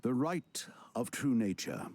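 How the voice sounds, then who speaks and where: A weary ancient wizard mentor. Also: male voice, medium pitch, very animated, very noisy. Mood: grave. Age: older. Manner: measured, solemn, dryly teasing. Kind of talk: video game cutscene acting. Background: game score music.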